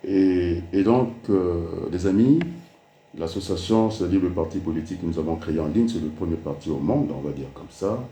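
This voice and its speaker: Deep-voiced Congolese man with dark tone. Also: normal speed, echoey room, a little hiss or hum. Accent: Congolese French. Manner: emphatic, with many fillers, mixes French and Lingala. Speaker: politician. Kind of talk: political address.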